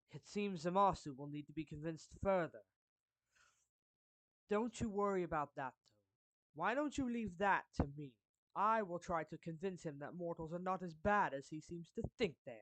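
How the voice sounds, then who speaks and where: A performer voicing a suave, courtly villain turned gently protective friend in an anime fan roleplay, speaking formally and deliberately with pauses mid-phrase. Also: mid-range voice, no room sound, close to an everyday voice, poor audio. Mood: surprised, concerned, then intrigued, resolved. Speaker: character voice actor. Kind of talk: anime fan roleplay monologue addressed to the listener.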